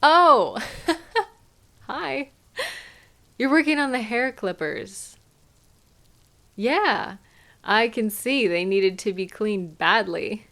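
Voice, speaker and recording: A woman playing a caring, gently chiding partner in an intimate audio roleplay, speaking soothingly to a sweetheart. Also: on the high side, expressive, exaggerated voice. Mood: affectionate, sympathetic. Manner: tender, soothing, gently questioning and unhurried. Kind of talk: intimate scripted audio roleplay addressed to the listener.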